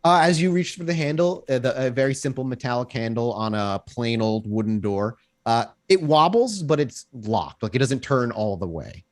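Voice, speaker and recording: A man's voice: mid-range voice, animated, normal speed, hardly any pauses. A man running a tabletop game, improvising scene descriptions in a conversational, descriptive way. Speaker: game master.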